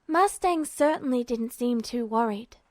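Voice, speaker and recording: A woman with a high voice narrates a fan fiction story. It is a scripted read, recorded very dry with hardly any room sound. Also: expressive, unhurried, darkish tone, no room sound.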